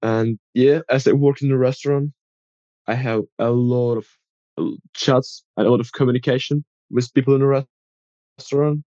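A young man from Ukraine with a Ukrainian accent, answering interview questions in careful, slightly halting English. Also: medium pitch, fairly even intonation, mellow, dry recording, dull audio.

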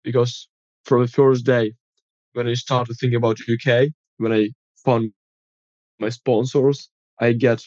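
A young man from Ukraine with a Ukrainian accent, answering interview questions in careful, slightly halting English. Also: medium pitch, a little mellow, dry recording, dull audio.

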